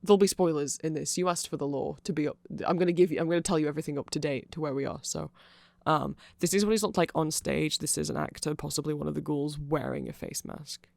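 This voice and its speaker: A mid-pitched voice with a noticeable creak, recorded close and dry. The speaker rambles informally through an ASMR-style explainer, offering opinions along the way. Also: steady tone, quick pace, hardly any pauses, a little mellow, dry recording. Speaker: content creator.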